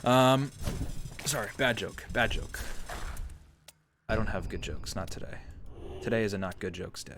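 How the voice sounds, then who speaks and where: A man's voice: lowish voice, measured pace, pauses often, noisy background. A man streaming a strategy game, thinking aloud as he plays and answering chat with self-mocking asides. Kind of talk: casual gameplay livestream commentary.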